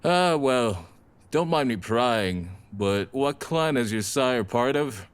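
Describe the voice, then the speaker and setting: An actor playing a laid-back, friendly young vampire gang member in an audio drama, talking in casual slang. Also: male voice, mid-range voice, animated, takes it slowly, clear, resonant tone. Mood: curious.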